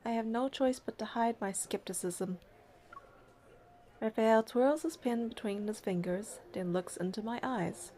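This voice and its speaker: A woman's voice: on the high side, a little slow, mellow, a little hiss or hum, close to an everyday voice. A woman voicing a practical, skeptical young heroine in an English-dubbed game, dry and matter-of-fact as she narrates and talks. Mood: reflective.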